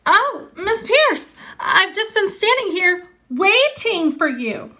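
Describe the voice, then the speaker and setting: A woman plays a bossy, scheming young schoolgirl in a storybook. The voice is high and bright, with dramatic stretched words and big swoops of theatrical confidence. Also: big pitch swings, heavily acted. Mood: urgent, sugary. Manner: dramatic, drawn-out, mock-romantic when dictating.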